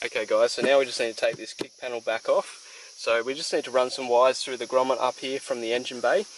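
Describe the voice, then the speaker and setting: A young man hosting a casual do-it-yourself car demonstration, talking in a relaxed, chatty way with plenty of "um" and "so". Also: mid-range voice, brisk, rough recording. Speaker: DIY car channel host. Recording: outdoor ambience with insect noise.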